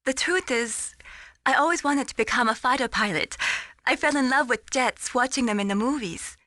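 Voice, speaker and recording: An actress playing an earnest, gently teasing young female radar technician in a video game. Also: sits high, normal speed, no echo, clean studio sound. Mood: candid. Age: young adult. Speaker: mission support operator. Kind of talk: scripted video game voice acting.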